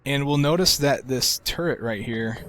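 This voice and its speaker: A man walks viewers through a video game in a relaxed, conversational way. His voice sits at an ordinary middle pitch and has a soft, soothing quality. Game sounds are clearly audible behind him. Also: normal speed, noisy background. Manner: relaxed, soft-spoken, self-described soothing voice. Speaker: game walkthrough commentator. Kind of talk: casual gameplay commentary.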